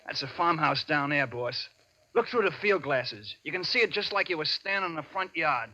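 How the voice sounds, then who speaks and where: An actor playing a loyal, rough henchman in 1940s radio crime drama style, tough and slangy but deferential to his boss. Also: fairly high pitch, lively intonation, normal speed, pushed and bright, lo-fi sound, heavily acted. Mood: defensive, watchful. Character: loyal, rough male henchman, eager for violence.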